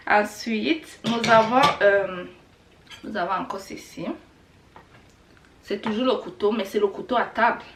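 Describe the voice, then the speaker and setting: A woman's voice: medium pitch, slow, pauses often, slight background noise. Woman, middle-aged, chatty, lots of fillers, echoey kitchen. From Rwanda. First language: Kinyarwanda. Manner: chatty and repetitive, many fillers. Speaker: language teacher vlogger. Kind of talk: casual teaching vlog. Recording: echoey room, a bit far from the mic.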